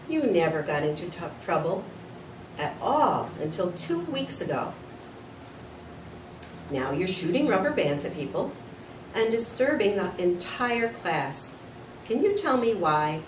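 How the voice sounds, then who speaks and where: A woman voicing a concerned, patient female schoolteacher who questions gently in a children's story. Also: mid-range voice, sing-song, a little slow, pauses often. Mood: stern, concerned. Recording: roomy, distant mic, noisy.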